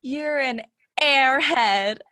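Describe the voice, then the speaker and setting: A woman's voice: high voice, very slow, pushed and bright, no room sound, studio quality, heavily acted. A woman playing Red, a playful girl who teases and makes puns, in an amateur stage play. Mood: teasing. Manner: teasing, giggly, joking. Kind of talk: amateur theatre acting.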